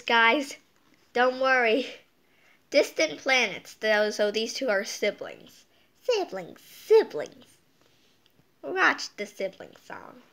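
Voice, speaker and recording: Female storytime host, higher-pitched, unhurried and halting read-aloud, playful chatty asides. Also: fairly bright tone. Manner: halting reading, stumbling over words; playful, exclaiming. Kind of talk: reading a picture book aloud with chatty asides.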